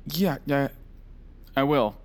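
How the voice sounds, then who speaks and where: A man's voice: medium pitch, animated, takes it slowly, creaky, a little hiss or hum. An actor playing a flustered male agent in an audio drama, hesitant, mumbling and trailing off. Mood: puzzled, hesitant. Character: groggy, flustered male agent reviewing tapes.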